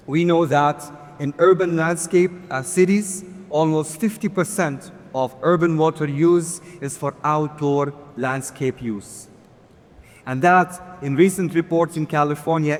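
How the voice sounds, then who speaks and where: A man giving a conference presentation, earnest with touches of light humor, asking for the next slides. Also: fairly high pitch. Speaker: conference speaker. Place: large conference hall. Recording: long hall reverb.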